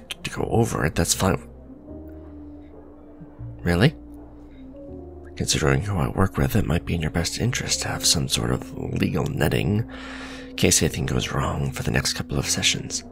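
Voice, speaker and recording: A man acts out a male supervillain on a blind date in an ASMR audio drama. His voice is very low and gravelly, with lively swings in pitch. He comes across as blunt yet awkward, hesitant and businesslike. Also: full character voice. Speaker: voice actor. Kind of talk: ASMR romantic roleplay acting.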